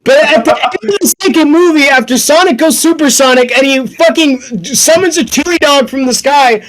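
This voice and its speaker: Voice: high voice, normal speed, low-quality recording. A young adult talking casually on a podcast, informal and prone to swearing. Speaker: podcast speaker.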